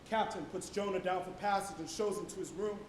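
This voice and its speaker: A man delivering a theatrical sermon monologue on stage, declamatory, swinging from hushed tones to shouting. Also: quick pace. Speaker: stage actor preaching a sermon. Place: college auditorium stage. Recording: echoey auditorium with loud rumbling noise.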